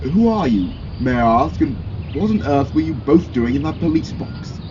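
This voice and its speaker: A man's voice: a little high, very animated, normal speed. A man playing a quaint, polite English village neighbour in an amateur audio drama, bemused by what he finds. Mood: astonished. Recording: muffled home recording, noticeable noise.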